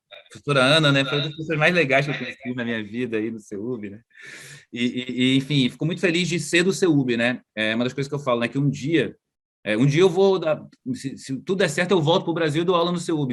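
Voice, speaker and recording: Brazilian researcher guest, relaxed, slangy, chatty, heard over a video call. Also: male voice, medium pitch. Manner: chatty, slangy, full of tag questions. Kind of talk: casual video-call interview. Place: outdoors at home, moving inside.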